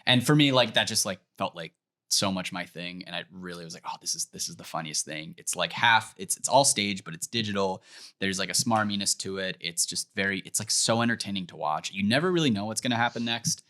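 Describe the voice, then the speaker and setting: A man with a fairly low voice that has a bright, forceful edge. He talks casually, wandering from point to point with a joking manner, like a guest on a comedy podcast. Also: fairly quick. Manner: rambling, joking. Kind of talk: casual chat.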